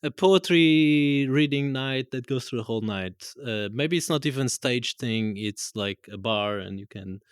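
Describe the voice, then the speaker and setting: A man's voice: medium pitch, a little slow, few pauses, clean tone, no echo, clean studio sound. Portuguese-accented man, chatty and rambling. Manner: rambling, self-correcting, laughs often. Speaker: guest musician. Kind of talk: casual live conversation. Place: live event room with audience.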